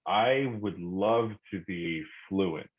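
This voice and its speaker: A middle-aged man from Korea reflecting in conversation, speaking thoughtfully with many pauses and restarts. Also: deep voice. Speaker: podcast guest. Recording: phone-like narrow sound.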